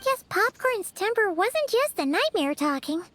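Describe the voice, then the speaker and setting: Fantasy game casting: perky, curious small girl, extremely high-pitched, crisp bright edge. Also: very animated, a little slow, slight background noise, full character voice. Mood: thoughtful. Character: spirited, curious little girl in a fantasy world. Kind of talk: animated video game voice acting.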